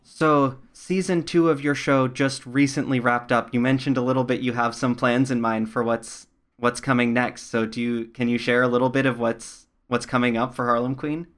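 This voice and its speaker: A man's voice: mid-range voice, level tone, moderate pace, a bit bright. A man hosting a podcast interview, conversational and thoughtful in how he comments.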